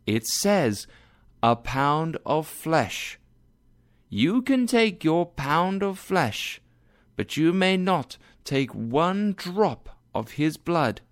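Guy putting on a high commanding lady judge, slow and firm, storybook reading. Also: sing-song, exaggerated voice. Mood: stern, commanding. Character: young woman disguised as a wise judge, commanding.

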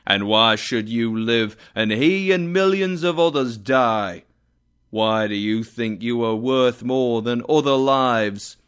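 A man voicing a stern, solemn spirit who speaks gravely and accusingly. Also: lowish voice, lively intonation, takes it slowly, fairly bright tone, full character voice.

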